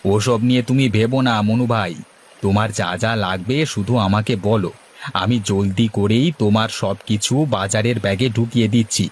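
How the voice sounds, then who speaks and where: A man voicing an obliging, calm village shopkeeper in a cartoon, accommodating and slightly halting. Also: lowish voice, slight background noise, voice pushed down, lightly acted. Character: obliging village grocer, male, calm and busy. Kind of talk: Bengali cartoon dialogue.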